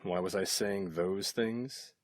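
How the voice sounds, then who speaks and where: A man's voice: sits low, takes it slowly, dry recording. A man playing an obsessive, possessive kidnapper in an intimate ASMR roleplay, sweetly menacing and teasing, often dropping into whispers. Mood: cold, teasing.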